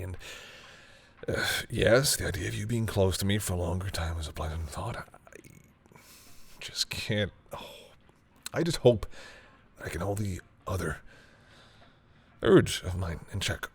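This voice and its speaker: A man playing a flustered, tender and grudgingly fond vampire boyfriend in an intimate roleplay audio, hesitant and teasing, with a husky voice. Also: lowish voice, exaggerated voice. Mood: shy, earnest. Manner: hesitant, easily embarrassed, teasing.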